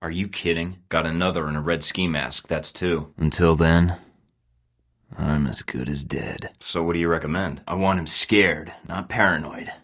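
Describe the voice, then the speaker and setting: Low, gruff young spy dude in a game, dry and sarcastic, keeps it short. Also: male voice, fairly even intonation, moderate pace, fairly bright tone. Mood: wry, clipped. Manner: terse, dry and sardonic. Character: wry, cocky young male spy agent. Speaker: game protagonist. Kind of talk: video game voice lines.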